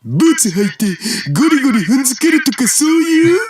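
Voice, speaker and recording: A man's voice: extremely high pitch, big pitch swings, racing, darkish tone. An actor playing a cheeky, geeky young man in an anime visual novel, with a theatrical delivery. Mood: silly, excited. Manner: theatrical, swinging from silly outbursts to earnest. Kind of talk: Japanese anime game voice acting.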